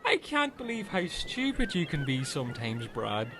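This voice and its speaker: A man's voice: high voice, huge pitch swings, normal speed, fairly bright tone, a little hiss or hum, exaggerated voice. A man playing a dim, chatty, earnest Irish castaway in a comedy radio play, rambling and taking things literally. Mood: chatty, matter-of-fact.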